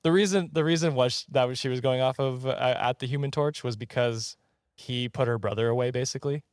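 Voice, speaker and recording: A man's voice: medium pitch, quick pace, no echo, studio quality. A man hosting a podcast in casual, comedic chat, joking and chuckling as he goes. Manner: jokey, chuckling often. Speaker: podcast host.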